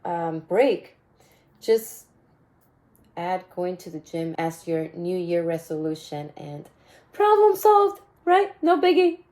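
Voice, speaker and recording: Woman, raspy voice, low-pitched, casual podcast host, repeats phrases. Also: very animated, takes it slowly, a little mellow. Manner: upbeat, chatty, repeats phrases, laughs often. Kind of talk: casual solo podcast chat.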